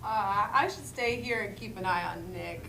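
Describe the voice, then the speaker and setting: A woman's voice: medium pitch, moderate pace, bright, forceful tone, slight background noise. A woman performing dialogue in a live comedy script reading. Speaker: table read performer. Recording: echoey distant mic, music behind.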